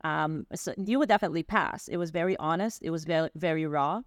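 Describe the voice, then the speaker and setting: A woman's voice: low-pitched, fairly quick, no echo, clean studio sound. A woman business owner, a podcast guest, explaining energetically with examples and asking "right?" often. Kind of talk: interview answers.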